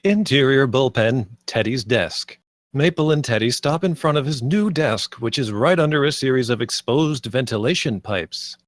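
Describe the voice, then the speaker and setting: A man's voice: mid-range voice, expressive, moderate pace, no echo. Man reading screenplay directions, dry sound. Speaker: stage-direction narrator. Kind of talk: scripted narration at a table read.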